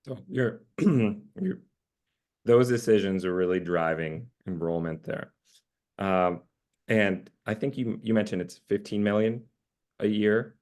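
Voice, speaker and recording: Man, lowish husky voice, joking host, loose and casual, video call. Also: slow, darkish tone. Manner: jokey, loose, filler-heavy. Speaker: interview host. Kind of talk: hosted webinar interview.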